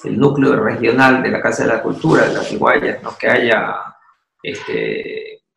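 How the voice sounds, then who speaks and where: A man's voice: mid-range voice, steady tone, unhurried, vocal fry, a bit bright, roomy sound, a little hiss or hum. A man from Ecuador, an artist and university teacher, speaking as an interview guest in a thoughtful, hesitant way with many restarts. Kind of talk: interview guest, reflective storytelling.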